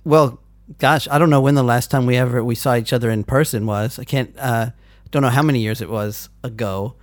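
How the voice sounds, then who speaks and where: A man in his forties leads the conversation in a friendly, easygoing way, pausing to recall old memories. His voice has an ordinary middle pitch. The sound is dry and close, with no room echo. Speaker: interviewer. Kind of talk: casual conversation.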